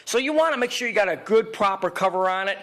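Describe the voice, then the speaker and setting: A man's voice: high-pitched, lively intonation, quick pace, fairly bright tone. A man narrating an informal how-to as a repair technician, plain-spoken and emphatic, often repeating his points. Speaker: video equipment repair technician giving a tutorial. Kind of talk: informal instructional narration over pictures.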